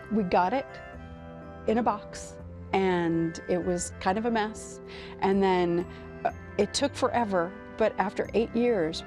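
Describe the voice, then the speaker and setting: An American woman giving an unscripted documentary interview as an archive worker, halting and repeating phrases as she goes. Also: on the low side. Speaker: film archive staff interviewee.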